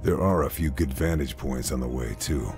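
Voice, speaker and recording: An actor playing a stoic wartime sniper, narrating a video game cutscene in a terse, serious, measured manner. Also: male voice, extremely low pitch, darkish tone, soft background music. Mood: grim, resolute.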